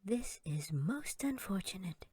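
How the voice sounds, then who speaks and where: A man's voice: sits high, big pitch swings, measured pace, mellow, husky, no room sound, studio quality, voice pushed up, heavily acted. A man voicing a tiny, sprightly female fairy with a small, thin voice in a fantasy audiobook. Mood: dismayed. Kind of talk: fantasy audiobook character acting.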